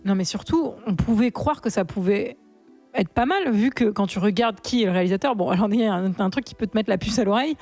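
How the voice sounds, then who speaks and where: A woman's voice: mid-range voice, lively intonation, fast talker, darkish tone, faint music behind. A woman co-hosting a casual film podcast, talking frankly with slang and easy laughs. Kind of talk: casual chat.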